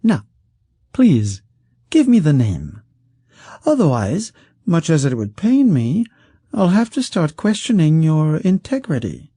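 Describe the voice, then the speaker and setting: A man voicing a smooth, scheming rich male wizard who talks in a silky, condescending way. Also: fairly high pitch, huge pitch swings, takes it slowly, long pauses, dark tone, dry recording, studio quality, pitched above the performer's own voice, exaggerated voice. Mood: wheedling.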